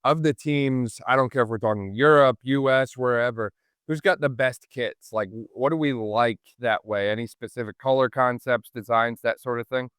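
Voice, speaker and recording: A man's voice: medium pitch, normal speed, fairly bright tone, smooth, dry recording, studio quality. A man hosting a podcast, interviewing in an effusive, wordy conversational way. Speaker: podcast host.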